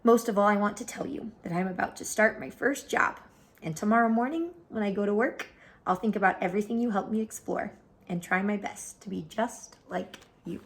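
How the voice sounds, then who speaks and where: A woman reading a children's picture book aloud as an expressive storyteller. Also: mid-range voice, fairly quick.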